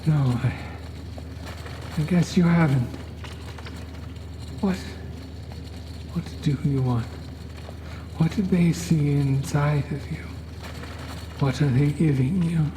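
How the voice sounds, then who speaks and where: A man voicing a frail, wealthy old cult patron in a horror story, grandiose, with slow and halting speech. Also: a little high, dark tone, slight background noise, voice pushed up. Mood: uncertain. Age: older. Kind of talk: horror story character acting.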